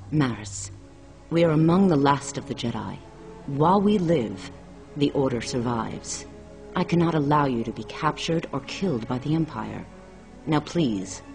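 A wise alien jedi master in a video game, calm and solemn, music playing loud behind. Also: female voice, rumbling low. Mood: firm, grave. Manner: measured, solemn, authoritative. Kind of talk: cinematic video game acting.

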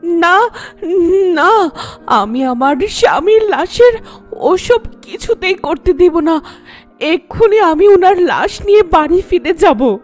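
High-voiced devoted young wife played by a woman. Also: expressive, slow pace, soft background music, full character voice. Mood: grieving, defiant. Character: devoted young newlywed wife, emotional and stubborn. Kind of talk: cartoon voice acting.

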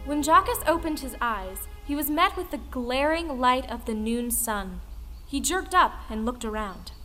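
A woman's voice: high voice, expressive, measured pace, sharp tone, heavily acted. A woman narrating a folktale audio play as a dramatic storyteller. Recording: long reverb, music underneath.

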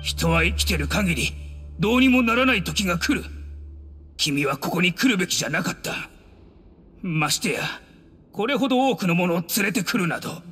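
A man's voice: sits high, animated, normal speed, long pauses, fairly bright tone, husky, faint music behind, exaggerated voice. An actor playing a weary, guarded old scholar in a video game, full of regret, with old-man phrasing. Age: older. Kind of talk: dramatic video game acting.

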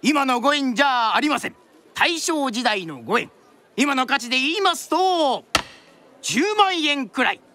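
A woman's voice: sits high, very animated, measured pace, bright, forceful tone, exaggerated voice. A woman playing a storyteller narrating a period radio drama in traditional Japanese style. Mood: storytelling.

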